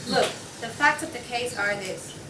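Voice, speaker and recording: Exasperated, pushy pig juror, played by a woman, higher voice, echoey room with clear background noise. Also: animated, moderate pace, close to an everyday voice. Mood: argumentative. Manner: insistent, exasperated. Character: impatient, argumentative pig juror certain of guilt. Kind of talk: student table read of a comic play. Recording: echoey noisy room, distant mic.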